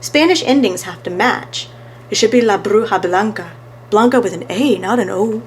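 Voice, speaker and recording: A woman's voice: mid-range voice, normal speed, slight background noise, lightly acted. A woman voicing an observant boy sidekick detective in a children's storybook. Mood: confiding.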